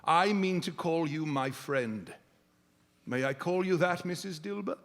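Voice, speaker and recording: A man's voice: on the high side, expressive, unhurried, fairly bright tone. A man playing a reformed old miser in live radio theatre, stammering, exclaiming and full of joy and gratitude. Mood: tender, repentant. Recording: reverberant hall, live stage performance.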